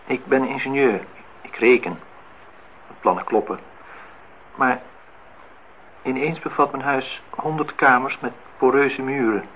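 An actor playing a brooding, insistent, melancholy engineer in a radio play. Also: male voice, mid-range voice, a little slow, pauses often, lightly acted. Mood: brooding, wistful. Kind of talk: radio play dialogue and monologue. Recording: muffled, noisy old radio-play recording.

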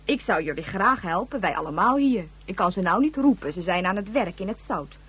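A woman's voice: medium pitch, expressive, brisk, a bit bright, slightly rough. An actress playing a blunt but helpful settler woman in a radio drama, with a folksy, scolding but obliging manner. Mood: brisk, reassuring.

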